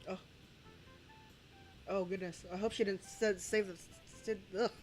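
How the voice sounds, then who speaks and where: A woman's voice: mid-range voice, steady tone, unhurried, darkish tone, soft background music. A young adult woman streaming, rambling through crude, profane reaction commentary with laughing asides.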